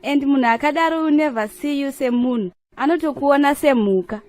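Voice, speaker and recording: A woman's voice: high-pitched, a little fast, darkish tone, lightly acted. A woman playing a frank, opinionated debater in a comedy cartoon, mixing Shona and English. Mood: indignant.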